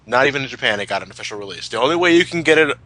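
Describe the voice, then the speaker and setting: A man's voice: medium pitch, expressive, very fast, pushed and bright, no room sound. A man chatting casually as a podcast co-host, opinionated and emphatic, with plenty of swearing. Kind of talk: casual chat, retrospective commentary.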